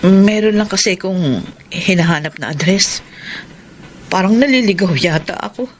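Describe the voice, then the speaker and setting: A woman's voice: fairly low pitch, lively intonation, normal speed, lightly acted. An actress playing a hurt, meek middle-aged wife and mother in a Filipino radio drama. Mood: timid, wistful. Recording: noisy radio drama, sound effects behind.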